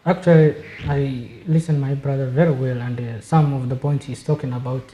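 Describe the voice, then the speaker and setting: Panel guest from Somalia, man, measured delivery, dark tone, roomy studio echo. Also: mid-range voice, fairly even intonation, slight background noise. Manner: measured, repeats words when searching. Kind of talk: panel discussion.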